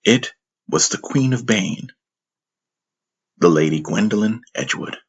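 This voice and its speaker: A man's voice: fairly low pitch, lively intonation, a little slow, gravelly, muffled recording, noisy background. A man narrating a scripted fantasy audiobook story.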